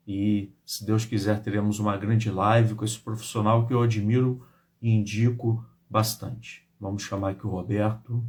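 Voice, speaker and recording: A man's voice: on the low side, little pitch movement, normal speed, darkish tone. Friendly male live-stream host. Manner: friendly, fills his speech with tag questions. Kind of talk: hosting a live interview.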